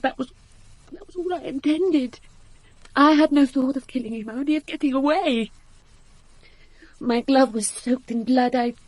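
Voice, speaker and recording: Slow, broken, remorseful woman. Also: sits high, darkish tone, no room sound, rough recording. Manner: halting, repeating herself. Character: broken, remorseful young woman confessing to a killing. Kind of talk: radio drama acting.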